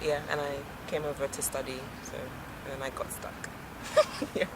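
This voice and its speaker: A young woman from Ghana with a notably low voice, answering street-interview questions in a chatty, laughing way. Traffic noise from an outdoor city street is clearly heard behind her. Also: moderate pace. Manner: chatty, laughs often, trails off. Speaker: interviewee, drama student. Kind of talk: casual street interview chat.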